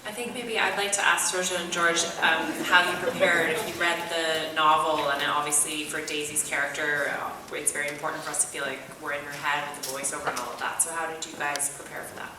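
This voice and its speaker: A woman moderating an audience Q&A in a theatre, brisk and organizing. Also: sits low, fairly even intonation. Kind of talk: hosting audience Q&A. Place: large theatre. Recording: echoey theatre from far off, noisy.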